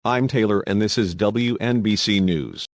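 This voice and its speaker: A man playing a sober local news anchor, reading a scripted newscast in a measured, formal way. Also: fairly low pitch, no room sound, natural voice.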